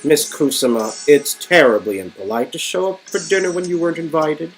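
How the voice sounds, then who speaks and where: A man's voice: a little high, fairly quick, natural voice, poor audio. Guy doing a smug grandiose villain from a story game, super pompous and theatrical, bit of music behind. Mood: smug, condescending. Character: smug, grandiose male villain boasting of his creation. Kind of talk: amateur dialogue reading of an interactive story game. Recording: music under the voice.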